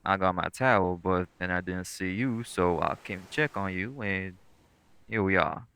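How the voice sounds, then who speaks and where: Man playing a low, gravelly, sweet boyfriend. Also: moderate pace, a bit bright, slight background noise. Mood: playful, teasing. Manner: laid-back, coaxing, slangy. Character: sweet, caring, teasing lightning elemental boyfriend. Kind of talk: intimate boyfriend audio roleplay, casual speech.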